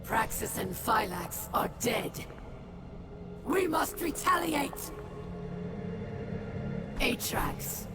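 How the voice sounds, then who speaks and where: Proud alien warlord, female, gravelly, menacing, impatient, animated pitch, video game villain, loud score behind. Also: full character voice. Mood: enraged. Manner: slow, menacing, dramatic delivery. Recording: loud cinematic music behind. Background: loud music.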